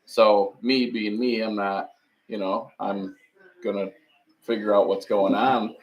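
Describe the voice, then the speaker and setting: Man, loud voice, livestream host, casual chat, chatty storyteller, teasing. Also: lowish voice, flat delivery, slow pace, highs cut off. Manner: chatty, teasing, laughs often.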